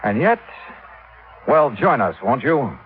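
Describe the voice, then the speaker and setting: A man delivering a dramatic, deliberate teaser in 1950s radio style. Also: mid-range voice, sing-song, a little hiss or hum. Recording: tinny, narrow vintage radio recording.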